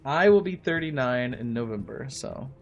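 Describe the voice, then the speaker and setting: A man's voice: mid-range voice, animated, moderate pace, lo-fi sound, soft background music. A man in his late thirties chatting casually and in a friendly way with viewers while he plays a game on a livestream, often thinking aloud. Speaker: live streamer playing a game.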